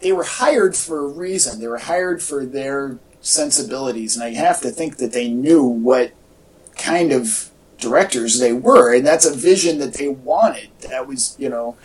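A man talking casually as a podcast co-host, in a halting, conversational way. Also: medium pitch. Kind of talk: casual chat about movie news. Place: indoor room with echo. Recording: echoey room, distant from mic.

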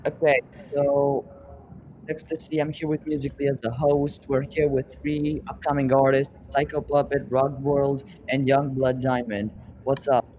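A man's voice: mid-range voice, little pitch movement, a little slow, a little mellow, a little hiss or hum, rough recording. A young man hosting a podcast, chatting loosely with upcoming rappers and asking them questions. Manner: rambling, loose, swears casually. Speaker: podcast host. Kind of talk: casual interview chat.

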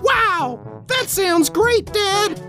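A spoiled little boy in a campy comedy radio ad. The voice is extremely high and drawn out, wide-eyed and childish, over clear background music. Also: animated, extremely slow, heavily acted. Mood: awed. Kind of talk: campy retro comedy ad acting.

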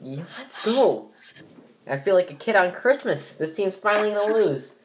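A man's voice: high-pitched, sing-song, moderate pace, a little hiss or hum. A young man hosting a casual sports podcast, sounding stuffy from allergies and rambling with many fillers and restarts. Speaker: podcast host.

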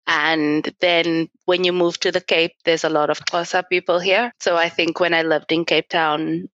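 A woman's voice: on the low side, little pitch movement, normal speed, fairly bright tone, no echo, muffled recording. Earnest young South African woman. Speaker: guest. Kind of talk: reflective podcast interview.

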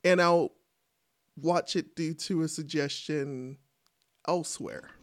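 A woman's voice: low voice, slow. A woman co-hosting a livestream podcast in casual, playful banter, acting out little quotes as she goes.

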